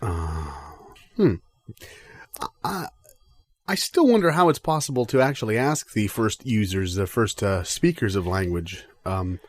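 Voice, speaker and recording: A man's voice: lowish voice, very animated, a little slow, no echo. A man playing an earnest, baffled interview host who stammers hesitantly through an improvised mock radio interview. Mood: puzzled, hesitant. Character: earnest, baffled male interviewer of odd scholars.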